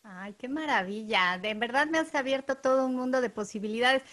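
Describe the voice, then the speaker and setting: A woman hosting an interview, talking in an expressive, animated way and asking follow-up questions. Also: a little high, a little fast. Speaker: interviewer and program host. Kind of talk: enthusiastic interview conversation.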